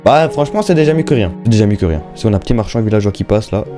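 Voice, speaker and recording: A man's voice: fairly low pitch, lively intonation, rapid-fire, music in the background. A young man giving casual, slangy Minecraft let's-play commentary, chatting and thinking aloud as he plays. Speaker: gaming YouTuber.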